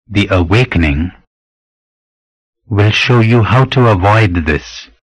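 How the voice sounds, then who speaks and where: A man with a fairly low voice leads a guided meditation. He speaks very slowly and deliberately, leaving pauses between phrases as he gives instruction. The recording is close and dry. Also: breathy edge, no echo, poor audio. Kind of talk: guided meditation, scripted spiritual narration.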